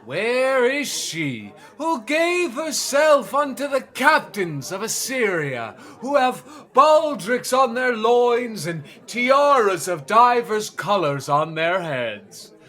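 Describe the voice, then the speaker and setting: High, fanatical, ranting prophet. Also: male voice, sing-song, slow pace, hardly any pauses, bright, forceful tone, heavily acted. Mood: condemning. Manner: ranting, oratorical, archaic solemn delivery. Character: fanatical prophet raging against sin. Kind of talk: declamatory classical audio drama acting.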